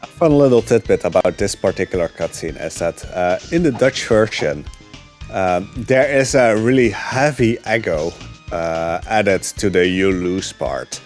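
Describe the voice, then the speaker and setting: A man's voice: sits low, measured pace, faint music behind. Man giving laid-back gameplay commentary. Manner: relaxed, chatty, many fillers. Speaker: speedrunner.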